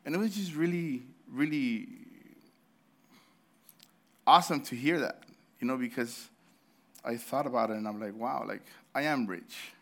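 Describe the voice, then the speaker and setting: A man's voice: medium pitch, fairly bright tone. A man in his thirties preaching a sermon in church, telling a story with laughs and restarts, his throat slightly sore. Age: 30s. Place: church service.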